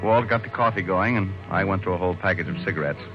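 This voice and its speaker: A male actor as a hard-boiled private eye with a low voice. He is terse, dry and world-weary, in classic radio noir style on a muffled vintage recording. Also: fast talker, over music, lightly acted. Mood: grim, narrating. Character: wry hard-boiled male private detective narrating his case. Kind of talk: 1940s-50s radio noir acting and narration.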